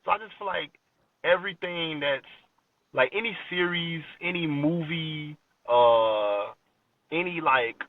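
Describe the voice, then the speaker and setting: A man's voice: on the high side, measured pace. An American man in his late twenties talking casually as an interview guest, informal and slangy, often checking that the listener follows. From Chicago, USA. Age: late 20s. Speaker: rap artist, interview guest. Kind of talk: casual podcast interview chat. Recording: dull lo-fi sound, distant from mic.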